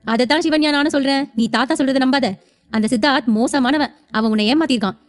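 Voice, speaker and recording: Villainess elder sister, acted by a woman: high, rapid, sneering, scheming, audio serial melodrama. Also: lightly acted. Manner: gloating, sneering, insistent. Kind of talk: audio serial drama acting.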